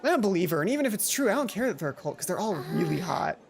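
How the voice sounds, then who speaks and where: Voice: medium pitch, very animated, rapid-fire, gravelly. A let's player voicing a gossipy, boy-crazy teenage schoolgirl in a visual novel, chatty and confiding. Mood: dishy, smitten.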